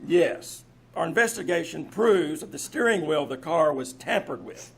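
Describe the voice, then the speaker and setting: Man playing a husky, methodical police inspector. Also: on the high side, expressive, a bit bright, exaggerated voice. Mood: businesslike. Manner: measured, formal. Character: polite, methodical male police inspector, confidently accusing. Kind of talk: old-time radio mystery acting. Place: live on a theater stage.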